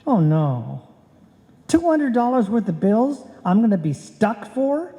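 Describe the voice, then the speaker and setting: An actor playing a wry, exasperated, penny-pinching husband in a live old-time radio comedy. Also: male voice, high voice, big pitch swings, slow pace, mellow, exaggerated voice. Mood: indignant. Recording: dull, echoey hall stage recording.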